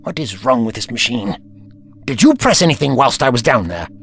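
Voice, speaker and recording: A man's voice: mid-range voice, very animated, fairly quick, gravelly, fairly bright tone. A man playing an aloof, vain time-travelling inventor in an audio drama, speaking in a formal, pompous, dismissive way. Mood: puzzled, agitated.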